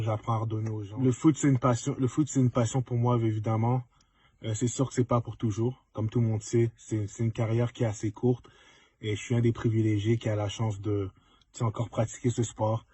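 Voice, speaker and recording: A man's voice: mid-range voice, mellow, slightly rough, dry recording. A young man giving a casual, reflective testimonial to students and mixing in English words. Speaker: former student, pro football player.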